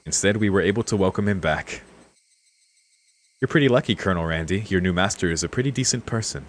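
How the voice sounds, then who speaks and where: A man's voice: low-pitched, animated, quick pace. A man streaming a game, reading text aloud and adding casual, laid-back commentary. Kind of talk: casual let's play commentary and read-aloud.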